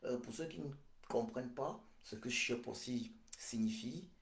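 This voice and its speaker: A man, slowly and hesitantly, circling back over his own phrases. His voice has a gravelly rasp at a middle pitch. The room around him rings with echo. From Cameroon. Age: 40s. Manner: slow, repetitive, hesitant with many pauses and fillers. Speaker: political speaker. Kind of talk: slow prophetic address.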